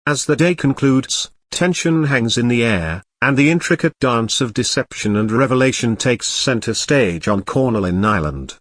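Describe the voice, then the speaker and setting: Male narrator, medium pitch, solemn storytelling, scripted drama delivery, very dry recording. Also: expressive, moderate pace, hardly any pauses, a bit bright, dry recording.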